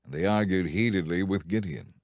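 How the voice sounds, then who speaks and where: A man narrating a dramatized audio Bible in a measured, clearly enunciated storytelling style. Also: deep voice, no room sound, clean studio sound.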